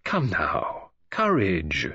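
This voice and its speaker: An older detective from a storybook, as a male narrator acts him out, fussy and courteous. His delivery is formal and gently commanding, swinging widely in pitch, with a husky edge. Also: wildly swooping pitch, very slow, no echo, highs cut off, heavily acted. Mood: coaxing. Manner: formal, precise, politely commanding.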